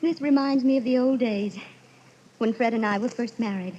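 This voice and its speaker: An actress playing a ruthless, scheming, domineering wife in a melodramatic old-time radio horror play. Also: female voice, a little high, normal speed, mellow, close to an everyday voice. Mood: feigned sweetness. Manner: melodramatic, commanding. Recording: muffled, noisy old radio recording.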